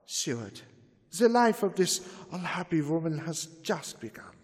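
An actor playing a stern elderly doctor who hunts vampires, speaking in a grave, urgent, lecturing manner. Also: male voice, fairly high pitch, sing-song, a little slow, a little mellow, husky, long reverb tail, exaggerated voice. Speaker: radio drama actor. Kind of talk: old-time radio drama acting.

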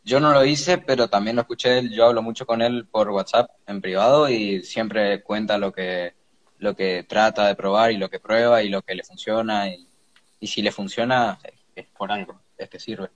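A sixteen-year-old young man chatting casually and haltingly about his hobby as a guest. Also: fairly low pitch, steady tone, highs cut off. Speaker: guest hobbyist ant keeper. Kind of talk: casual chat.

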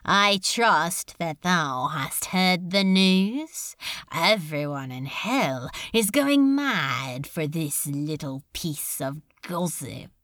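A woman voicing a languid, cruelly mercurial male demon lord in an audiobook, with drawn-out, archaic, mock-courteous speech. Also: low-pitched, animated, fairly bright tone, no room sound, clean studio sound, pitched below the performer's own voice, full character voice. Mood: smug, casual. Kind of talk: audiobook character acting.

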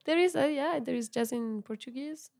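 A young woman whose first language is Italian, a musician chatting in an interview with many fillers and soft laughs. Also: high-pitched, moderate pace, vocal fry. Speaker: musician guest. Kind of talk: casual interview chat.

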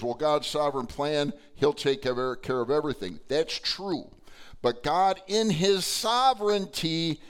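A man preaching a sermon to his congregation, his voice slightly hoarse, talking in a conversational way with frequent fillers. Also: fairly high pitch. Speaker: pastor. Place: church sanctuary.

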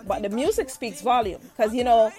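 A woman's voice: fairly high pitch, normal speed, mellow, soft background music. A woman hosting an interview show. She gushes with praise and laughs often. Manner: effusive, gushing with praise and frequent laughter. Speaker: radio show host. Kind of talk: lively online interview.

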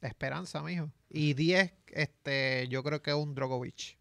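Relaxed man with a clear voice. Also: a little high, measured pace, dry recording. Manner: relaxed, hesitant fillers. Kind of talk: casual chat.

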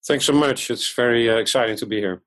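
A man's voice: medium pitch, quick pace, a bit bright. A middle-aged man from the Netherlands with a Dutch accent, a surgeon and company founder answering podcast interview questions in a thoughtful, explanatory way with frequent restarts.

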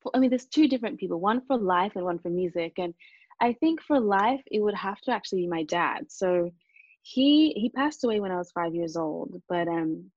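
A woman from Japan and Australia, a native Japanese speaker, talking earnestly and reflectively as an interview guest, in a calm, hesitant way. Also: on the low side, moderate pace, no room sound, highs cut off.

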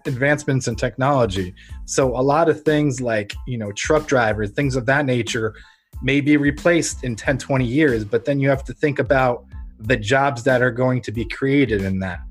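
A man's voice: medium pitch, breathy edge. Earnest American man over music. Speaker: podcast host. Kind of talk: conversational podcast interview.

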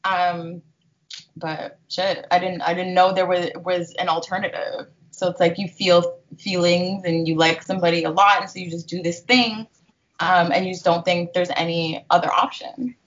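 Brief: thirties woman, frank co-host, lowish voice, muffled lo-fi audio. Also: fairly even intonation, moderate pace. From Jamaica. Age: 30s. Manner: frank, filler-heavy, swearing. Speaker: podcast co-host. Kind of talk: casual chat.

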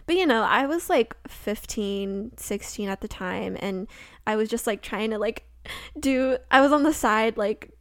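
A woman's voice: fairly high pitch, expressive, a little slow, no echo. Rambling young American woman, dry sound. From United States. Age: young adult. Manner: rambling, heavy use of "like". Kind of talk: casual chat.

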